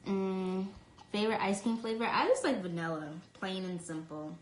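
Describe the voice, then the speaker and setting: A woman's voice: mid-range voice, fairly even intonation, normal speed. Young woman, casual live-stream chatter, full of fillers. Phone mic, echoey room. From New York. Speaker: live streamer answering fan comments. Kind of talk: casual chat.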